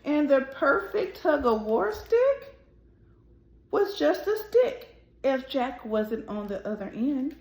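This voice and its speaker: Slow-paced woman reading to children, roomy sound. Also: high voice, sing-song, a little mellow. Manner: deliberate, addressing young children directly. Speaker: host of a children's read-aloud show. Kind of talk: children's storybook read-aloud.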